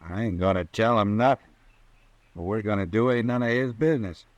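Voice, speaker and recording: A man's voice: on the low side, brisk, no echo, lightly acted. Guy acting a cold scheming cowboy killer, curt folksy western drawl, old radio drama style. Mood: sly, gruff.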